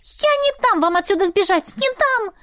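A woman voicing a frantic, tearful young maidservant in an audiobook, shrill, wailing and pleading. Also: big pitch swings, a little fast. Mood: frantic, shrieking. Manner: shrill, wailing, overwrought delivery.

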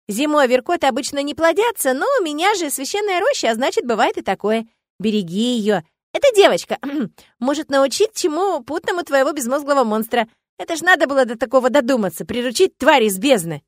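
A woman voicing a gruff, kindly old male grove spirit who clears his throat and grumbles. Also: high-pitched, expressive, brisk, dry recording, studio quality, voice pushed up.

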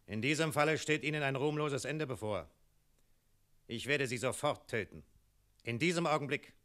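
An ancient, imperious dragon acted by a man in a radio play. He speaks in a hard, forceful voice at a middle pitch, curt and coldly threatening, used to being obeyed. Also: moderate pace, close to an everyday voice. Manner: curt, commanding, cold. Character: menacing, imperious centuries-old male dragon. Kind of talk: German radio play acting.

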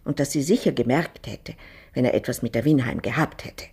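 A nervous woman in a domestic radio drama scene. Her voice is breathy and husky. She is evasive and gossipy, confiding as if she should not. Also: a bass voice, brisk, darkish tone, exaggerated voice.

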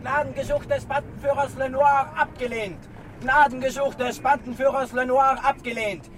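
A woman as a shrill young newspaper boy. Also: steady tone, moderate pace, noisy background, close to an everyday voice. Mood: urgent crying out. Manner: loud, chanting street cry. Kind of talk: 1960s German radio drama acting.

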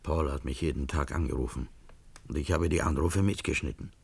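An actor in a German science fiction radio play, playing a wary, evasive barkeeper with a gravelly, hesitant delivery. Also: male voice, rumbling low, brisk, no echo, full character voice. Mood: guarded. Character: wary, evasive barkeeper who knows more than he says.

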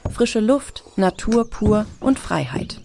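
A woman's voice: mid-range voice, expressive, takes it slowly, creaky, a little mellow, a little hiss or hum. A woman playing an earnest, idealistic farm teacher in an audio drama. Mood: earnest, explaining. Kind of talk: German audio drama dialogue.

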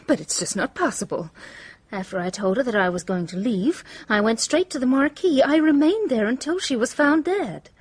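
An actress playing a composed, quick-witted wife under suspicion, controlled and defensive in a radio drama. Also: female voice, fairly high pitch, animated, breathy edge, no echo. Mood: indignant.

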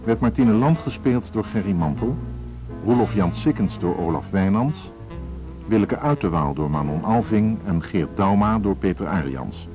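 Low-voiced male radio announcer over music. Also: lively intonation, moderate pace. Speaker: announcer reading cast credits. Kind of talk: formal scripted radio announcement. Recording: dull, lo-fi radio recording.

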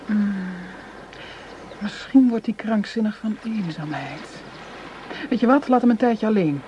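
A woman's voice: medium pitch, expressive, measured pace, warm tone, close to an everyday voice. Casting: woman to play cool, domineering crime boss in a radio drama, condescending, commanding, noisy background. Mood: businesslike. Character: cool, domineering female boss of a counterfeiting gang.

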